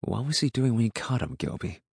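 A man voicing a calm, sensible country husband in an audiobook, with a wry, reasoning, mildly folksy manner. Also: low-pitched, normal speed, no room sound, clean studio sound. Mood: challenging. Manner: reasoning, dryly challenging, folksy. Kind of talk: audiobook character dialogue.

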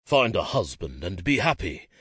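A man's voice: low-pitched, big pitch swings, moderate pace, fairly bright tone, husky, no room sound, clean studio sound, full character voice. A man voicing a scheming older nobleman who plays up his self-pity in a wheedling way. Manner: wheedling, melodramatic.

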